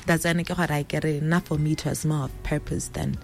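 A woman with a Setswana accent and a naturally loud voice, a pastor's wife telling her story in a casual interview and mixing Setswana words into her English. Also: low voice, steady tone, fast, creaky, faint music behind.

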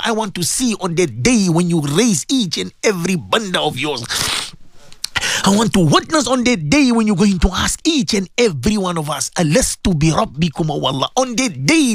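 A South African man preaches an impassioned supplication in a close, dry recording. His pitch swings widely as he pleads, repeating phrases for emphasis and weaving Arabic and Urdu expressions into his prayer. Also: a bit bright, no room sound.

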